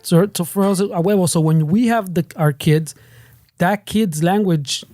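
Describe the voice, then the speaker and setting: A man's voice: high-pitched, unhurried, warm tone, no echo. A man hosting a casual podcast chat, rambling and restarting as he switches between Spanish and English and swears freely. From United States. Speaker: podcast host.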